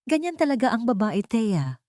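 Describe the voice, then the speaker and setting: A woman's voice: a little high, big pitch swings, normal speed, warm tone, no echo, clean studio sound, pitched above the performer's own voice. A woman voicing a cheery, oblivious elderly grandmother who praises and preaches endurance in a narrated story. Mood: insistent, preachy.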